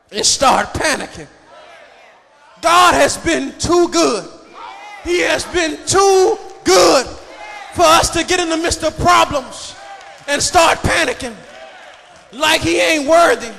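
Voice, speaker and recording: Shouting male preacher, gravelly and high-pitched. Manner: emphatic, repetitive, cadenced delivery building on repeated phrases. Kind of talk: fervent shouted sermon. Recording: echoey hall reverb with congregation noise.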